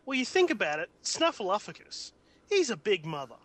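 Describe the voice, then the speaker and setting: A man's voice: high voice, wildly swooping pitch, normal speed, fairly bright tone. A man with an Australian accent, co-hosting a podcast in casual, rambling, jokey chat. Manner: jokey, rambling, laughs often. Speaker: podcast co-host.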